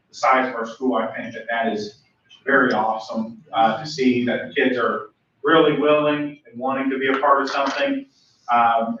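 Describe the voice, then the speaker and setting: A young man with a booming voice, earnestly giving thanks as a youth pastor at a school board public comment. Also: a little hiss or hum. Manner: earnest, grateful, loud speaking voice. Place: large meeting room. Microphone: room mic from far off. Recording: echoey, dull, distant room recording.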